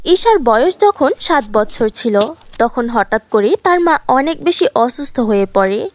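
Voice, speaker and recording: A woman's voice: fairly high pitch, very animated, a little slow, dry recording. A woman narrating a scripted fairy tale as a storyteller.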